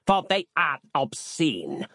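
A man's voice: a little high, huge pitch swings, slow, bright, forceful tone, breathy edge, no room sound, clean studio sound, voice pushed up, full character voice. A man voicing a stern, pious seventeenth-century ancestor spirit in a storybook, with an archaic, commanding, sermonizing manner. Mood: scolding.

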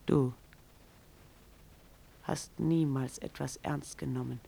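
A man playing a bitter, contemptuous accuser in a radio drama, with a creaky voice near a whisper and drawn-out reproach. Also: mid-range voice, steady tone, warm tone, full character voice.

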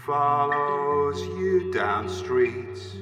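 A man's voice: a little high, expressive, drawn-out words. A man delivering lyrics, partly spoken and partly sung, as a storyteller over guitar. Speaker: singer-storyteller. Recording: echoey reverberant hall with guitar music.

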